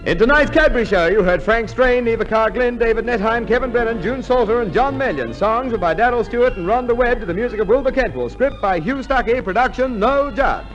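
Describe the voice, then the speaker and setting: A polished, emphatic man announces in scripted 1950s radio style. The pitch is high and animated, and the old recording sounds dull, with music behind the voice. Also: a little fast, few pauses.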